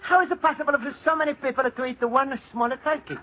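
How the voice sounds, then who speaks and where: A man's voice: very high voice, fairly even intonation, fast. A man playing a timid, good-hearted Italian immigrant in old radio comedy, hesitant and stammering in broken English with an Italian accent. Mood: earnest. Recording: tinny, hissy old radio recording.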